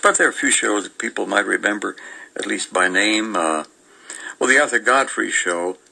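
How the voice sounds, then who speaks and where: An older man chats casually as a radio co-host, going through old listings. His voice is fairly low and gravelly, with a strikingly forceful, bright edge. He meanders and halts as he reminisces. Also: poor audio. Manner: meandering, chuckling, halting delivery.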